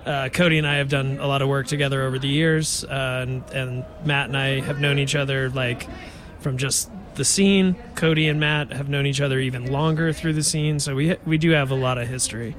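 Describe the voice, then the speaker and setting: A man's voice: mid-range voice, steady tone, brisk, a bit bright. A man, a musician, giving laid-back, brief answers in a casual interview. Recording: crowd noise behind.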